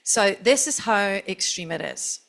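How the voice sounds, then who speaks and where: A woman's voice: medium pitch, animated, unhurried, fairly bright tone, rough recording. Chatty Scottish woman on a stage panel. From Scotland. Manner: chatty, storytelling, self-deprecating. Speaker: chief marketing officer on a panel.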